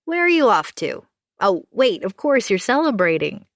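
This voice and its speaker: A woman's voice: mid-range voice, big pitch swings, moderate pace, no room sound, studio quality, pitched above the performer's own voice. A woman reading aloud and voicing a friendly, helpful woman acquaintance who talks in an upbeat, soothing way. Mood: cheerful surprise.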